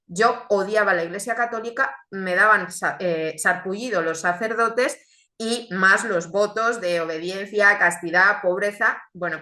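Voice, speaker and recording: A woman, born in Chile, giving an earnest, reflective religious testimony with deliberate pauses. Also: medium pitch, bright, forceful tone, reverberant. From Chile, raised in Spain.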